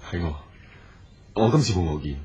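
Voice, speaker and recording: A man's voice: low voice, expressive, a little fast, darkish tone, muffled recording, a little hiss or hum. A man playing an earnest, persistent businessman in a radio drama. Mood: apologetic, gentle. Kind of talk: Cantonese radio drama acting. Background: music.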